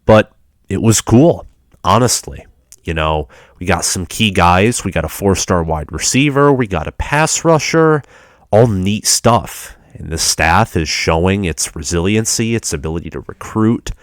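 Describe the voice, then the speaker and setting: A man's voice: low-pitched, expressive, measured pace, no echo, clean studio sound. A young man with a stuffy, congested voice, chatting casually as a fan about college football recruiting. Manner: chatty fan talk with restarts. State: congested from a cold. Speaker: sports vlogger.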